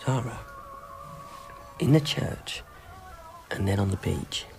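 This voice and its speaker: An awkward young Englishman on a film crew. His voice is deep with some fry. He speaks diffidently and haltingly, as in natural radio drama. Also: lively intonation, a little mellow, faint music behind, heavily acted. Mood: uneasy. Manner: hesitant, diffident, mumbling agreement.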